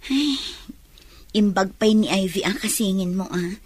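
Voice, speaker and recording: A woman's voice: medium pitch, a little slow, slightly rough, no echo. A young woman with an Ilocano accent playing an eager, chummy nurse looking for work in a radio drama. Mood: disappointed, chatty.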